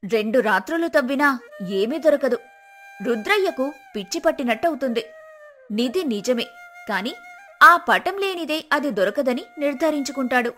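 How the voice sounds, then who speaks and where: A woman's voice: sits high, lively intonation, normal speed, a bit bright, soft background music. A woman reading scripted narration for a folk tale as the storyteller.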